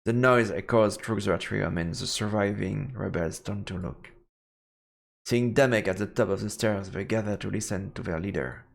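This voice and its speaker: A man's voice: on the low side, normal speed, vocal fry. A man streaming a visual novel, reading the game's narration aloud. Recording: game music behind the voice. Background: game music.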